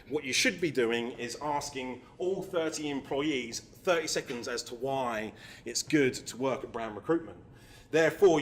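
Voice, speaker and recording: A man's voice: medium pitch, fairly bright tone. A middle-aged man with an accent that blends English and New Zealand, giving a casual, self-deprecating conference talk to a live audience, with frequent ums. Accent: mix of English and New Zealand. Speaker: marketing agency founder giving a talk. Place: meetup venue with audience.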